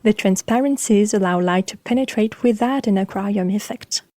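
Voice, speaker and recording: A woman podcast host reading scripted narration about architecture in a measured, formal way. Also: medium pitch, darkish tone, dry recording.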